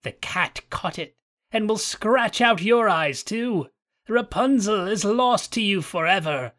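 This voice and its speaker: A man voicing a wicked old witch who scolds and jeers in a shrill, raised voice. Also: expressive, takes it slowly, a bit bright, full character voice. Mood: mocking.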